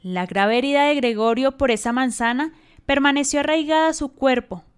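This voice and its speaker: A woman's voice: on the high side, clean tone. A woman narrating a radio drama in a scripted storytelling style.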